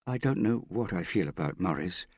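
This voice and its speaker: A man's voice: low-pitched, lively intonation, a little fast, a little mellow, no room sound, clean studio sound, voice pushed up. A man voicing an earnest, worried and stubborn young woman in an audiobook, serious and insistent in manner. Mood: unhappy, stubborn. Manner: serious, plain-spoken, insistent. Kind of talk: audiobook character dialogue.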